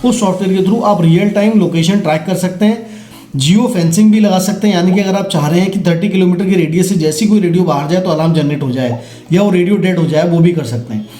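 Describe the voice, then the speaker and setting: A man's voice: high-pitched, quick pace, dark tone. A man with an Indian accent giving a casual, explanatory product demonstration, often checking in with listeners. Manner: explanatory, checks in with listeners using tag questions. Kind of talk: casual instructional product demo. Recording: roomy echo, faint music behind.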